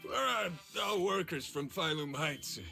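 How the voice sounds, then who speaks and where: A man's voice: a little high, expressive, moderate pace, creaky, sharp tone, soft background music, exaggerated voice. A man voicing a sheepish, apologetic workman in a video game, hesitant and deferential. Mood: sheepish, grateful. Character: sheepish, apologetic working man hired as bandit extra.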